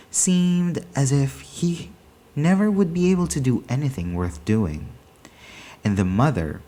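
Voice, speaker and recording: A man reads a literary story aloud with deliberate drama. His voice sits low and has a dark, mellow tone, and he takes his time over each phrase. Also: a little hiss or hum. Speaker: story reader. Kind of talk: scripted short story read aloud.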